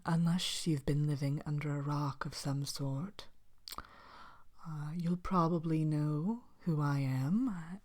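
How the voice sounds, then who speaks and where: Woman playing a slow, whispery, haughty evil queen. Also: deep voice. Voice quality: soft, whispery. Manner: haughty, dry, hesitant, condescending. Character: vain, haughty, bitter evil fairy-tale queen. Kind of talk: whispered ASMR character talk show.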